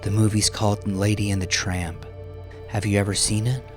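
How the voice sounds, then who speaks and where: A man's voice: lowish voice, level tone, moderate pace, over music, voice pushed up, natural voice. Man voicing a tender, weary aunt, voice lifted. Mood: fond. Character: tender adult woman, weary aunt humoring her niece.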